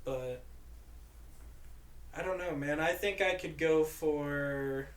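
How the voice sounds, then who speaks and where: A young man guest on a podcast, talking in a laid-back, slangy, low-key way in a home studio. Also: takes it slowly, reverberant. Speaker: guest musician. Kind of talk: casual chat.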